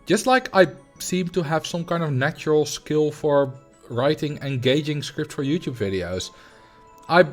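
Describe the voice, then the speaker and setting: A man with a Dutch accent, a native Dutch speaker, casually chatting with his livestream viewers and answering chat in a relaxed, rambling way with frequent ums and light laughs. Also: a little high, unhurried, faint music behind. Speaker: streamer.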